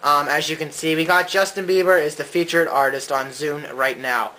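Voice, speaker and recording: A man's voice: on the high side, steady tone, moderate pace, sharp tone. A man giving a casual, hands-on gadget review as a co-host.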